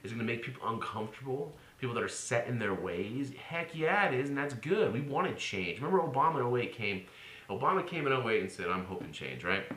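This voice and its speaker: American male commentator, very low and forceful, sarcastic informal monologue, roomy recording with slight noise. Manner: opinionated, sarcastic, swings into mocking exclamations. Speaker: political commentator. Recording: roomy echo with faint noise.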